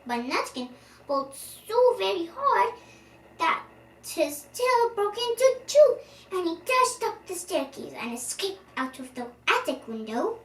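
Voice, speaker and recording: A young girl reading a children's storybook aloud in an animated, expressive way, now and then stumbling over words. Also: sits high. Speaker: storyteller, channel host. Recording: roomy, distant microphone, faint background noise.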